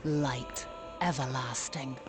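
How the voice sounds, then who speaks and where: A woman playing a solemn, compassionate ancient goddess in a fantasy video game, speaking in a grave, poetic and measured way. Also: extremely low pitch, fairly even intonation, background noise. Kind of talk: scripted dramatic monologue in a fantasy video game. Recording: voice over prominent music.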